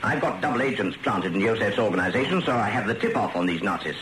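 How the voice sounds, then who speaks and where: An actor playing a bossy, impatient British spy chief, a major with slangy, brusque speech, in a radio comedy drama. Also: male voice, fairly low pitch, expressive, fast talker, roomy sound, close to an everyday voice. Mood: brusque, confiding. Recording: noisy radio broadcast recording.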